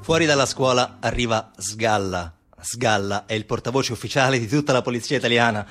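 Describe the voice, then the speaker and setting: A man's voice: mid-range voice, fairly quick, loud music behind the voice. A man performing a theatrical narrative monologue, with emphatic, repetitive, incantatory phrasing. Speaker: stage actor and narrator. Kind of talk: dramatic theatrical monologue storytelling.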